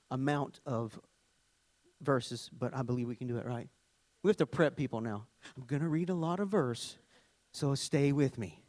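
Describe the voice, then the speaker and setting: A man's voice: mid-range voice, no echo. A middle-aged man from Arkansas with a Southern US accent, a worship leader preaching a conversational sermon with joking asides and scripture reading. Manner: chatty, joking asides, animated storytelling.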